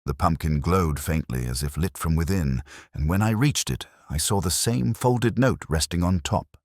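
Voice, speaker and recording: A man playing a brooding, erudite detective inspector, narrating his case in a measured, literary and wry manner. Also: sits low, a little mellow, dry recording, clean studio sound. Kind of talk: scripted first-person mystery narration.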